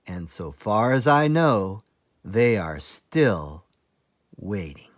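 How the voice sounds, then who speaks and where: A man, a teacher librarian, reading a children's book aloud in a deliberate, expressive storytelling manner. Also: fairly low pitch. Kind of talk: children's read-aloud narration.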